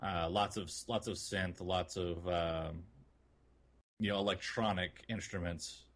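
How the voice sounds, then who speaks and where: A man co-hosting a gaming podcast, chatting in a loose, informal and halting way. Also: sits low, fairly even intonation. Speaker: podcast co-host. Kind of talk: casual gaming podcast chat.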